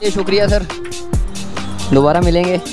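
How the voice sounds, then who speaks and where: Male travel vlogger, slightly high voice, casual and chatty, repeats himself, music clearly underneath. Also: moderate pace. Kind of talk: casual vlog chat to camera.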